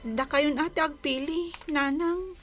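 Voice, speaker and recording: A woman's voice: high voice, steady tone, slow, fairly bright tone, soft background music. A woman playing a plaintive young bride unhappy with an arranged marriage, with an Ilocano accent, in a comedy drama. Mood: pained, resentful. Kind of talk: Filipino comedy-drama dialogue.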